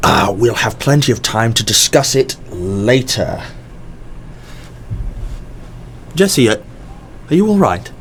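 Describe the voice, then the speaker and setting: A man's voice: medium pitch, big pitch swings, a little slow, slight background noise. An actor in an audio drama playing a mysterious, encouraging male company boss who is polished, effusive and probing.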